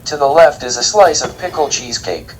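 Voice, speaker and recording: A man's voice: a little high, very animated, brisk, faint music behind, poor audio. A man playing a pedantic, literal-minded alien food expert in an audio drama, speaking formally and precisely. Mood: earnest, pedantic. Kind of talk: scripted audio drama dialogue.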